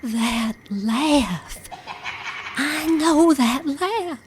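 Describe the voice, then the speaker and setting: High, slow-spoken frightened young woman. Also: animated, noisy background, heavily acted. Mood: dazed, fearful. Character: frightened, troubled young woman under hypnosis. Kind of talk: audio drama acting.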